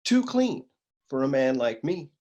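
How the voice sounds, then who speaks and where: A man's voice: mid-range voice, sing-song, a little slow, darkish tone. A man playing a self-important, down-on-his-luck private detective in a noir radio play, with a dramatic manner. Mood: self-important, wistful.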